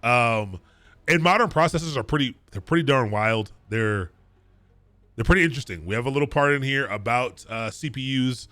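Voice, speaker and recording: A man from the Baltimore area with a Baltimore accent, teaching a live-streamed coding class in a quick, casual way, repeating and restarting phrases and chatting with viewers. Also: medium pitch, lively intonation, sharp tone, dry recording. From Baltimore area, Maryland. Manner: chats with viewers between points. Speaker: coding bootcamp instructor.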